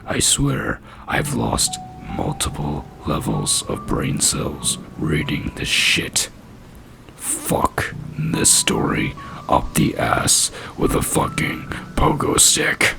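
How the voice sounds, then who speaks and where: Male narrator-reviewer, very heavy vocal fry, unhurried scornful delivery, music underneath. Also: very deep voice, huge pitch swings. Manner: deliberate, foul-mouthed, scornful. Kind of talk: dramatic reading and ranting review. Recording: music playing behind the voice. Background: background music.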